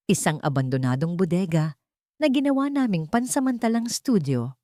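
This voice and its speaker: A woman's voice: sits low, a little mellow, dry recording, studio quality. A woman with a Filipino accent narrating a scripted, dramatic first-person story in the manner of an audiobook.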